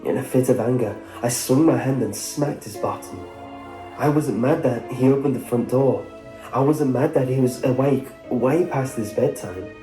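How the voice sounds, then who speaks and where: A man reading a scripted first-person horror story in a measured storytelling way. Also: mid-range voice, mellow. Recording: roomy sound with music behind. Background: background music.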